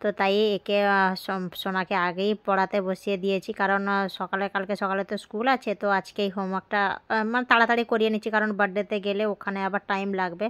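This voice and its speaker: A woman's voice: mid-range voice, little pitch movement, quick pace, a bit bright, clear, resonant tone. A young woman, chatting casually in a rambling way as she records a daily vlog. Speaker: vlogger.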